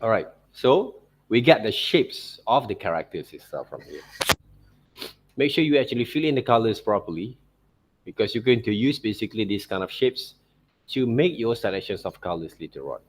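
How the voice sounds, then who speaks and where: A man narrating an informal art software tutorial as a teacher, in loosely phrased non-native English, often checking in with short tag words. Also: lowish voice, expressive, moderate pace, slight background noise. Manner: repeats check words like okay and right.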